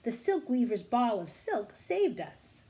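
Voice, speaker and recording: A woman's voice: on the high side, very animated, takes it slowly, warm tone, voice pushed up, heavily acted. A woman voicing a cautious, easily tired young boy adventurer from a storybook, in a reluctant, exclamatory way.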